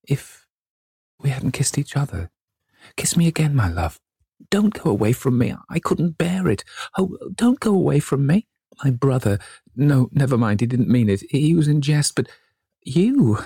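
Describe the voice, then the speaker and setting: Storybook figure: a tearful, imploring young woman, pitched well up, read by a man. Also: big pitch swings, a little slow, gravelly, warm tone, slightly rough, dry recording, studio quality, full character voice. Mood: pleading. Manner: tearful, imploring, breathless pleading. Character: desperate young actress pleading with her lover.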